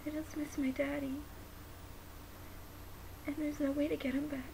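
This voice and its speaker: A woman's voice: sits high, flat delivery, moderate pace, creaky, dark tone, low-quality recording. Young woman acting a grieving, guilt-ridden daughter. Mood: anguished self-blame. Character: grieving, guilt-ridden daughter who lost her father. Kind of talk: dramatic monologue. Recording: noticeable background hiss.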